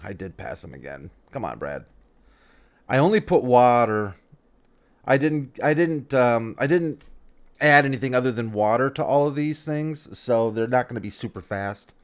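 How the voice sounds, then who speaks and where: A man giving casual let's-play commentary on a farming game, thinking aloud, rambling and often correcting himself mid-sentence. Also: medium pitch.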